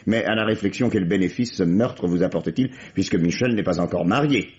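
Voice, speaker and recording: An actor playing a shrewd, world-weary police commissioner in a vintage French radio mystery, speaking formally and deliberately with irony and theatrical pauses. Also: male voice, low-pitched, a little mellow, lightly acted. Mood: measured, ironic. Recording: dull, band-limited old radio recording.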